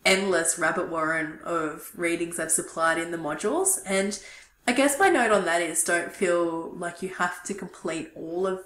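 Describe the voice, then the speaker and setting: A woman in her thirties giving a recorded university lecture, hoarse and throaty from losing her voice, relaxed and chatty with many ums. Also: on the low side, moderate pace, reverberant. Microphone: webcam at desk.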